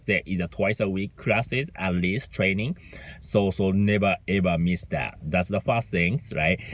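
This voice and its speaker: A man's voice: on the low side, fairly bright tone, dry recording. A man from Japan with a Japanese accent, giving an unscripted motivational talk as a business mentor, full of fillers and "right?" checks. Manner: halting, heavy fillers, frequent "right?" checks.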